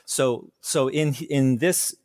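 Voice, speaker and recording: A man's voice: medium pitch, slow, darkish tone, dry recording. A man from the United States hosting a podcast, curious and thinking aloud as he frames his questions. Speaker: podcast host. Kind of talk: conversational podcast interview.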